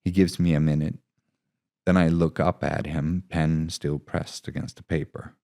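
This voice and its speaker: A man narrating and reflecting on his own writing in an unhurried, thoughtful way. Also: rumbling low, level tone, gravelly, dark tone. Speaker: author reading own short story. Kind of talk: scripted narration and casual reflective talk.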